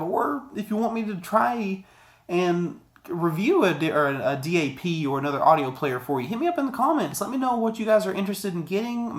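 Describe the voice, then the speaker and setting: An American man in his thirties gives a casual video product review in a conversational, rambling way, repeating words for emphasis, recorded in a small office. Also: high voice. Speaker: audio gear reviewer. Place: small office room indoors.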